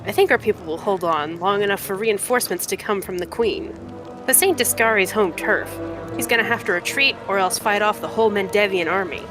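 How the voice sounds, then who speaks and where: A woman's voice: mid-range voice, fairly quick, natural voice. A woman voicing a tough, wry female city scout in a video game, with a folksy, sarcastic, slangy way of talking. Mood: firm, earnest. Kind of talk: reading video game dialogue aloud. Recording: loud music under the voice.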